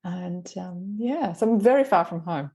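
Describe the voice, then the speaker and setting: A woman in her forties, raised in New Zealand, speaks with a Kiwi accent softened by years abroad. Her voice sits a little low for a woman. She talks in long, chatty runs, padded with ums and quick laughs. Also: lively intonation, gravelly. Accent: mixed New Zealand accent. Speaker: guest, marketing consultant. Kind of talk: conversational interview.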